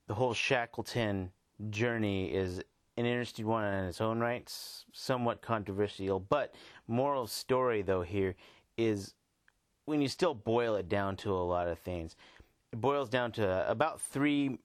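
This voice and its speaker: A man hosting a podcast alone with casual commentary, phrasing things in halting, emphatic pieces. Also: fairly low pitch, no room sound. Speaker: podcast host.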